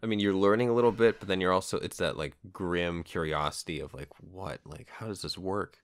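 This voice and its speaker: A man hosting a casual conversational interview, agreeable and a little halting, often putting in short interjections. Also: deep voice, no room sound, clean studio sound. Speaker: podcast host.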